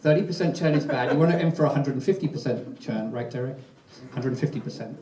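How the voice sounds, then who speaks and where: A man moderates a live fireside chat, summing up points in a relaxed, joking way. His voice is mellow and mid-pitched. He sits away from the mic in a roomy space. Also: fast, distant mic, a little hiss or hum. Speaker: host and marketing executive.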